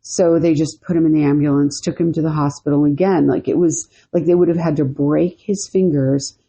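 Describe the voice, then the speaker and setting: A woman's voice: deep voice, steady tone, brisk, mellow, slightly rough. A woman co-hosting a podcast, telling a story casually, rambling with lots of "you know" and "like". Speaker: podcast co-host.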